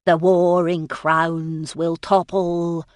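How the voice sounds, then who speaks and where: A man's voice: on the high side, flat delivery, very slow, no room sound. A woman voicing a theatrical male medium who growls out dramatic prophecies. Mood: ominous. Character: theatrical male spirit-writing medium, charlatan.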